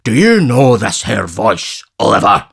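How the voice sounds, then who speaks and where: A man's voice: fairly low pitch, very animated, measured pace, vocal fry, a bit bright, husky, heavily acted. Mr Bumble: a man doing a pompous stern beadle. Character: pompous, bullying parish beadle, a stout man.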